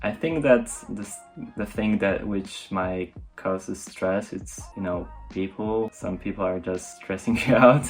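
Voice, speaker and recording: Polish teen guy, pretty deep voice, hosting a relaxed chat, faint music under it. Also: a little slow, few pauses. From Poland. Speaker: video host. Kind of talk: casual discussion to camera.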